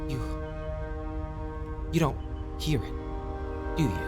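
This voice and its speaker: A man playing a restless, defiant young man in an audio drama, speaking in a halting, emotional way. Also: medium pitch, expressive, over loud music. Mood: desperate, pleading.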